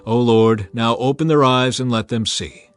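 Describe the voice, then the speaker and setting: A man's voice: mid-range voice, moderate pace, faint music behind, close to an everyday voice. A man reading as a firm, solemn prophet. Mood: prayerful. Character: calm, commanding male prophet.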